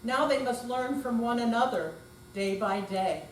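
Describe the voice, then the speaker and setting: An older woman reads theatrically in a fairly high voice. She is some way from the microphone in an echoey room, and a clear electrical hum runs behind her. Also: unhurried, distant mic. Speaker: readers theater performer. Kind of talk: dramatic reading on stage. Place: hall at a senior center.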